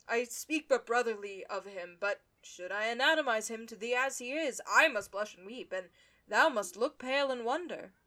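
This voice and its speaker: A woman playing an envious, scheming young nobleman in a Shakespearean radio play, with a theatrical, insinuating, deliberate delivery. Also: a little high, lively intonation, bright, forceful tone. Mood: venomous, urgent.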